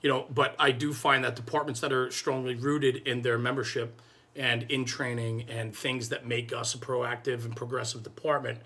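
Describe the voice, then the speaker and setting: An American man co-hosting a casual interview, husky-voiced, deliberately restating his questions. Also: mid-range voice, little pitch movement, bright, forceful tone. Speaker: podcast co-host, fellow firefighter. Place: podcast studio, indoors.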